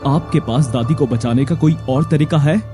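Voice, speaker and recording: A man's voice: on the high side, moderate pace, mellow, lightly acted. Man acting an insistent, formal hospital trustee. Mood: commanding. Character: commanding, anxious wealthy young father and grandson. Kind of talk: Hindi audio drama acting. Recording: music bed under voice. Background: dramatic background music.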